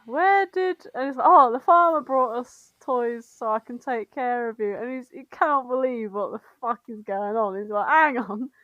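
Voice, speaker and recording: A woman's voice: a little high, moderate pace, few pauses, a bit bright, no echo, dull audio. Woman, giggly and dryly recorded. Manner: giggly, irreverent, rambling. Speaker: podcast co-host reading and summarising fan fiction. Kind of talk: casual comedic storytelling chat.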